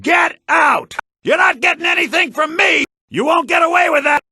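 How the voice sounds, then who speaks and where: A man playing a sly, grasping shopkeeper in a fantasy video game, with a raspy, theatrical delivery, in low-bitrate compressed audio. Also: normal speed, bright, forceful tone, no room sound, shouting, studio quality, exaggerated voice. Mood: alarmed, angry. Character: sly fantasy male shopkeeper, grasping, quick to yell at thieves. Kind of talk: video game character barks.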